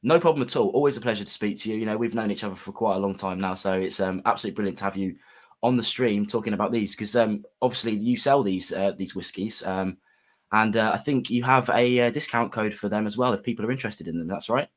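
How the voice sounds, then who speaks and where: A man with a sore, gravelly throat hosting a casual whisky livestream, friendly and full of ums and restarts. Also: fairly low pitch, quick pace.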